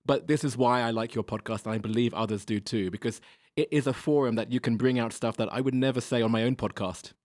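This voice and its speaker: A man appearing as a podcast guest, agreeing warmly and speaking thoughtfully in casual chat. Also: mid-range voice, steady tone, fast talker, no room sound, clean studio sound.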